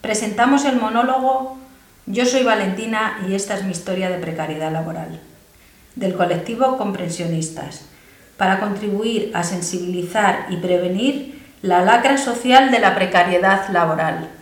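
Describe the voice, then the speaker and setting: A woman's voice: mid-range voice, normal speed, roomy sound. A woman formally introducing a theatre monologue. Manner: formal, declamatory. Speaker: presenter. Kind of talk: formal announcement. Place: university theatre classroom.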